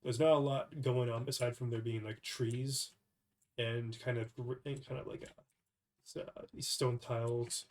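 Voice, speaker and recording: A man's voice: medium pitch, fairly even intonation, measured pace. A young man with a cold, sounding stuffy, talking casually and hesitantly through a devlog with many fillers and restarts. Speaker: indie game developer. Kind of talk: casual devlog screen recording.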